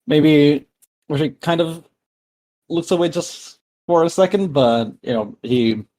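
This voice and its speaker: A man's voice: fairly high pitch, animated, slow pace, darkish tone. A man casually improvising narration as a tabletop game player, hesitant and thinking aloud.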